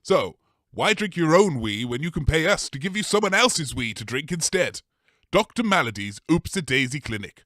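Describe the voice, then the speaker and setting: A man's voice: on the high side, sing-song, normal speed, pushed and bright, no room sound. Man acting as a male commercial announcer, mock-earnest, varied intonation, dry sound, parody radio ad. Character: earnest male advert announcer for a dubious clinic. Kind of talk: parody advert voice-over.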